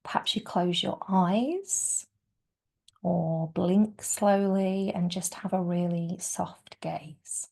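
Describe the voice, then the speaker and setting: A woman leading a guided yoga nidra meditation in a soft, soothing, unhurried way, often trailing off into a breathy near-whisper. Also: fairly low pitch. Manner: soft, soothing, unhurried delivery with gentle pauses.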